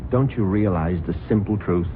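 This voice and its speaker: An actor playing a smooth, scheming husband in an old radio drama who plots his wealthy wife's murder. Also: deep voice, moderate pace, slight background noise, natural voice. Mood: agitated. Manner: smooth, then flustered and scheming. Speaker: husband, narrator of the story. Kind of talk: 1970s radio drama acting. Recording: tinny old radio broadcast with music.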